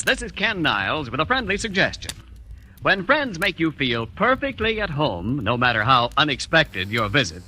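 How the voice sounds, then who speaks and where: A man's voice: fairly high pitch, sharp tone. A man announcing a 1940s radio commercial in a polished, emphatic sales delivery. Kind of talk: 1940s radio advertising read. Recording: old radio broadcast, faint noise.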